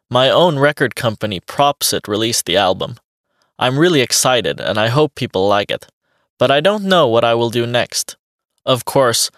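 Man doing a young male beatboxer, friendly, plain steady answers, medium voice, dry close sound. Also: mid-range voice, dry recording, close to an everyday voice. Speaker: interviewee. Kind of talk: scripted textbook dialogue.

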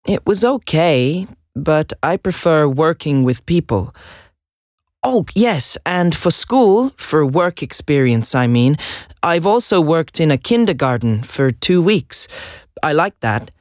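A man's voice: on the high side, sing-song, slow, a little mellow, no room sound. Actor as earnest teen boy, Swedish native, hesitant and chatty, dry close sound. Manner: hesitant, chatty, laughs nervously. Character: earnest 16-year-old boy job applicant. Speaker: job applicant. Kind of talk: scripted listening dialogue.

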